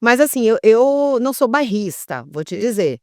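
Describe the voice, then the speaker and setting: A middle-aged woman from Salvador with a softened Bahian Brazilian accent, chatting expressively as a podcast guest outdoors. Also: a little high, very animated, moderate pace, no room sound, clean studio sound. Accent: Bahian Brazilian Portuguese, softened. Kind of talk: casual interview chat.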